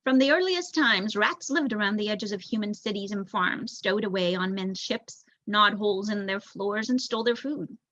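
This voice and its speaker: A young woman voicing a thoughtful, bookish male rat elder telling a storybook history in a measured, reflective way. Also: medium pitch, a bit bright, no echo, close to an everyday voice. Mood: pondering. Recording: dull lo-fi recording.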